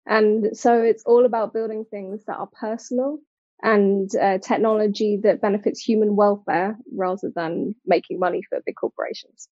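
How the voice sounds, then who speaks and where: A woman's voice: mid-range voice, fairly even intonation, moderate pace, a little mellow, dry recording, muffled recording. A British woman speaking as an interview guest, explaining things articulately in a conversational way. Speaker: interview guest, technology designer and advocate.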